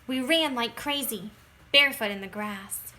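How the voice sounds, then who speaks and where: A woman reading a children's picture book aloud in an expressive, dramatic way, stretching words for emphasis and moving between hushed phrases and bursts. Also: a little high, unhurried, a little hiss or hum.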